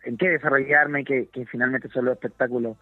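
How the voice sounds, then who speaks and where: A Chilean man in his fifties, a comedian and guest, chatting in a relaxed, halting way and often repeating words while he searches for them. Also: mid-range voice, no room sound. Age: 50s. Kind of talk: relaxed interview chat. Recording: dry tinny phone line.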